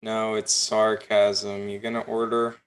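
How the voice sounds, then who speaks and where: A man's voice: fairly low pitch, completely flat delivery, measured pace, a little hiss or hum. An actor playing a curt, sarcastic male hot dog stand worker in a sitcom table read on a video call.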